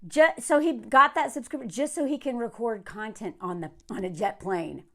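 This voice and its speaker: An American woman from the South talking to camera in a casual, emphatic rant, sardonic and quick to find things funny. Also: a little high, a bit bright. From southern United States. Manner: emphatic, sardonic, laughing often. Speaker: online content creator.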